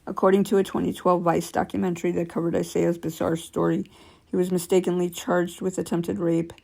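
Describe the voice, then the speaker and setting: A woman's voice: fairly low pitch, level tone, brisk, vocal fry, darkish tone. A woman with a scratchy voice reading creepy true stories aloud, stumbling now and then and correcting herself, with casual remarks between stories.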